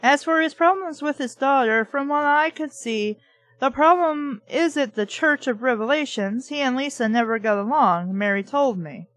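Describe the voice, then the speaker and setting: A woman with a fairly high voice reads fiction aloud in a dry, close recording. She slips between narration and her own off-the-cuff commentary. Her manner is blunt, opinionated and mocking, with plenty of swearing. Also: normal speed, a bit bright, dry recording, low-quality recording. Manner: opinionated, argues back at the text. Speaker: host reading and critiquing a book aloud.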